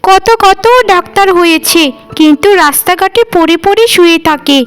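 A spiteful village gossip woman from a cartoon, acted out by a female performer. Her voice is very high, and she talks in a sneering, dismissive way, with music playing underneath. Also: normal speed. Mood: envious, scornful. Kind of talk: Bengali cartoon voice acting.

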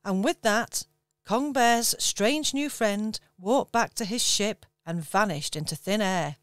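A woman narrating a children's radio pantomime in clear, steady storytelling. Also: mid-range voice, takes it slowly, no room sound, studio quality.

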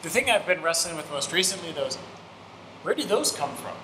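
Middle-aged male academic, mid pitch, musing; reverberant hall, noisy. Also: very animated, brisk. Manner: thinking aloud, searching for words. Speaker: panelist. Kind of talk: academic panel discussion. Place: seminar room with a panel table. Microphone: table microphone. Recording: echoey hall with background noise.

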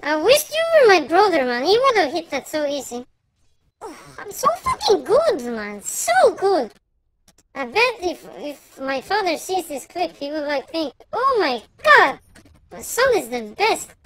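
Someone using a pitch-raising voice changer to play a bratty, boastful, foul-mouthed young boy trash-talking in an online game. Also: wildly swooping pitch, measured pace, heavily acted. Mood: boastful, chatty. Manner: whiny, swearing, shouty.

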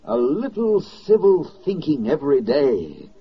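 Husky, oratorical American radio narrator. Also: male voice, on the high side, wildly swooping pitch, a little slow, heavily acted. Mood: urgent, exhorting. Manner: oratorical, declamatory, emphatic. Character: solemn, stirring male narrator addressing soldiers and sailors. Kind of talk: 1940s radio drama narration. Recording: dull old 1940s radio recording.

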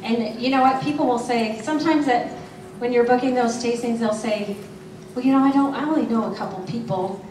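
A woman explains things briskly, with a fairly high voice. She is heard from a distance in a reverberant hall with steady noise. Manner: explanatory, brisk advice-giving. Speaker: panelist, sales consultant. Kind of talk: panel discussion. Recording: echoey hall, distant, noisy.

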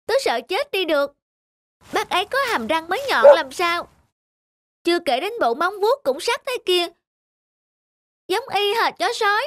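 A woman's voice: very high-pitched, expressive, moderate pace, pauses often, a bit bright, no echo, clean studio sound. A woman voicing a timid, gossipy young sheep in a children's cartoon, earnest and cautioning. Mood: fearful.

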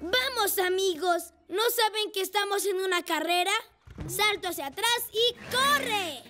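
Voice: very high-pitched, unhurried. A performer voicing a brave, eager little boy rescue motorcycle who rallies friends in a cartoon. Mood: heroic, excited. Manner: eager, rallying. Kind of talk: cartoon voice acting. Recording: music behind the voice.

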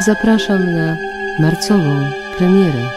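A woman's voice: fairly low pitch, slow pace. A woman hosting a radio programme, presenting it in an announcer's style. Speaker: radio host. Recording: music under the voice.

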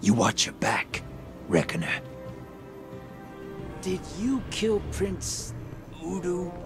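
A grizzled rogue gunslinger from a sci-fi game, drawling and sardonic. His pitch swings wide and lively through every taunt. Game music plays clearly behind him. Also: slow pace, heavily acted. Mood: wry. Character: sly grizzled male gunslinger smuggler, wry and cocky. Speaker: game character. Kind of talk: video game cutscene dialogue.

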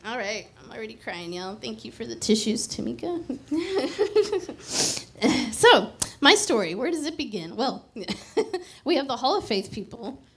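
A woman, preaching a conversational, emphatic sermon into a handheld mic on a church stage. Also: on the high side. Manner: conversational, emphatic, drops to a hushed prayer.

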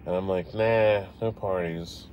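Deep-voiced man chatting while driving. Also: fairly even intonation, unhurried. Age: 40s. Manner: chatty, self-deprecating, talks to viewers. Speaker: vlogger live streaming while driving. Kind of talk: casual rambling chat. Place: inside a car driving city streets at night. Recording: road and engine noise behind.

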